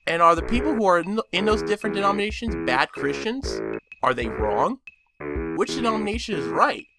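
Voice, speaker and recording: A man's voice: high voice, very animated, fairly quick, over loud music. A man earnestly reading out a list of probing questions.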